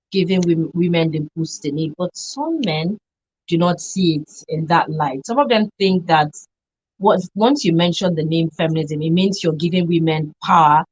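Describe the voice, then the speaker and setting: A Nigerian woman hosts a talk radio programme in a dry studio. Her voice sits on the low side, and she presents live in a conversational hosting style. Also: darkish tone, husky, no echo, highs cut off. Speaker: radio show host.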